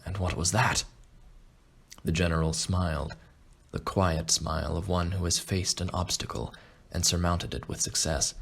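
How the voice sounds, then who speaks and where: Very deep gravelly male audiobook narrator. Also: steady tone, normal speed. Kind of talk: scripted story narration.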